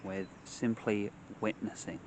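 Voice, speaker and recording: A man leading a guided meditation in measured, short phrases separated by long pauses, speaking outdoors. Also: lowish voice, level tone, noisy background. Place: outdoors among trees.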